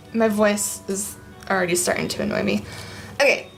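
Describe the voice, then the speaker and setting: A young woman chatting casually in her living room as a vlog host, rambling with lots of ums and a voice that is going hoarse. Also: mid-range voice, expressive, measured pace, faint music behind, low-quality recording. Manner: rambling, many ums, laughs often. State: losing her voice. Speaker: art vlogger. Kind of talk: casual chat.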